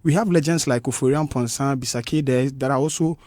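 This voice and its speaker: A man's voice: mid-range voice, a little fast, a little mellow, dry recording. Passionate Ghanaian man, dry studio sound. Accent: Ghanaian English. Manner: passionate, emphatic, repeats points. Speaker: guest musician. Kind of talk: radio studio interview. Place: radio studio.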